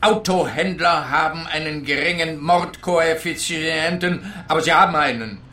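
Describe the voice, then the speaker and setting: Pompous policeman, pedantic and insistent, sharp bright tone, roomy sound, comic radio play. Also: on the high side, moderate pace. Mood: pompous, lecturing. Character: pompous, self-assured policeman spouting absurd statistical theories. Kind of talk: German-language comic detective radio play.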